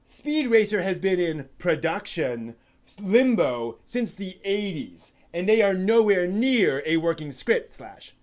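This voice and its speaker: Man doing a high, exasperated anime fan. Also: big pitch swings, slow pace, few pauses, a little mellow, full character voice. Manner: melodramatic ranting, exaggerated sighs and groans. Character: fussy, exasperated male anime fan venting online. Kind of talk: comedic dramatic reading.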